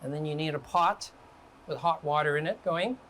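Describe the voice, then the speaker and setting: Relaxed man demonstrating a recipe. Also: on the high side, normal speed, noisy background. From Canada. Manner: relaxed, step-by-step instructive. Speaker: guest chef. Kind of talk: home cooking demonstration.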